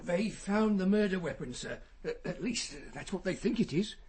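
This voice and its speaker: A man's voice: high-pitched, animated, moderate pace, darkish tone, a little hiss or hum. An actor playing a flustered, deferential male junior chambers employee, hesitant, in a radio crime drama.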